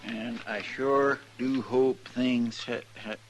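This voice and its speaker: An actor playing an earnest, simple Old West sidekick in a radio western, with a laboured rustic drawl. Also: male voice, medium pitch, steady tone, highs cut off, over loud music, natural voice. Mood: earnest, plodding. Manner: slow, laboured, rustic drawl while reading aloud. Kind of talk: 1950s radio western acting. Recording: noisy old-time radio recording.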